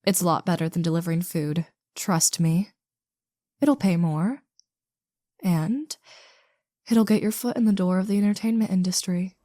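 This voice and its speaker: A woman's voice: on the low side, moderate pace, long pauses, warm tone, no echo, clean studio sound, natural voice. A woman playing a haughty, sardonic famous actress with an imperious drawl in a scripted roleplay. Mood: persuasive, imperious. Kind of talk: scripted roleplay audio drama.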